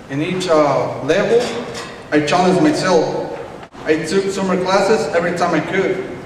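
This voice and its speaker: A man's voice: sits high, recorded from a distance, a little hiss or hum. A young man, a learner, gives a prepared speech earnestly and carefully, with some restarts. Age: young adult. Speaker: English language student giving a speech. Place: small classroom indoors, at night. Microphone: room microphone at a distance. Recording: echoey room, picked up from afar.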